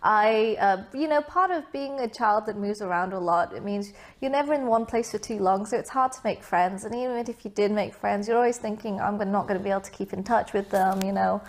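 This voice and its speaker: A woman's voice: medium pitch, brisk, hardly any pauses. A young woman from Vietnam, raised abroad, answering interview questions in a chatty, casual way with many fillers and asides.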